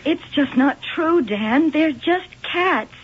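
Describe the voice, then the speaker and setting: A woman's voice: high-pitched, animated, slow pace, muffled recording. A woman acting in a radio drama as a troubled, vulnerable young woman, on an old radio recording. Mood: disbelieving, troubled.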